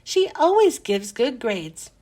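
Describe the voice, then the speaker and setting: A woman's voice: a little high, sing-song, slow pace, mellow, exaggerated voice. A woman voicing a thoughtful, uncertain schoolboy in a children's storybook.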